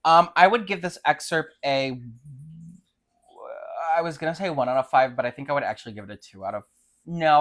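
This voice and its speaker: A young woman co-hosting a podcast, chatting casually about books in a blunt, slangy, profane way. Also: low-pitched. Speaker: podcast co-host. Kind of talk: casual book-review chat.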